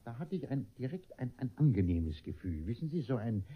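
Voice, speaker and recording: An actor playing a gullible, vain middle-aged gentleman in a German radio play, stammering and bumbling his way along, flustered and eager. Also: medium pitch, sing-song, fairly quick, slightly rough. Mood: hesitant, self-important. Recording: dull, muffled old radio recording.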